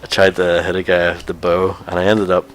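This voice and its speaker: A man's voice: sits low, completely flat delivery, fairly quick. A man chatting casually as he co-hosts a video game stream, with a wry, bantering manner. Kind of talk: casual chat while playing a video game. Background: video game music.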